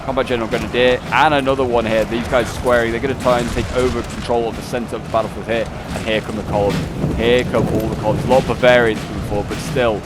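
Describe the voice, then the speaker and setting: A man's voice: medium pitch, level tone, quick pace, over loud music. A man casually commentating a strategy game battle, rambling with fillers and restarts. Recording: loud game battle sounds under voice. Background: in-game gunfire and artillery.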